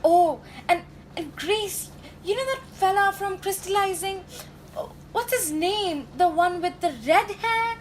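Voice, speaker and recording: A woman's voice: very high voice, measured pace, slight background noise, poor audio. A teenage girl playing a sentimental, gossipy working-class factory girl in a radio drama, folksy and dramatic. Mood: excited gossip. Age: teenage high school student.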